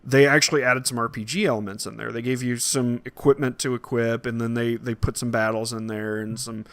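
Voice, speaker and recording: A man's voice: medium pitch, fairly even intonation, brisk, a bit bright, no room sound. A man in a casual, opinionated podcast discussion, explaining his points piece by piece as a co-host.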